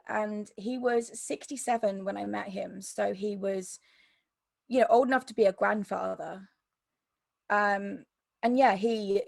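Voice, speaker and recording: A woman with a croaky voice telling her personal story as an interview guest, hesitant, with many ums and restarts. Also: medium pitch, darkish tone, no room sound, studio quality. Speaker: interview guest, mental health YouTuber.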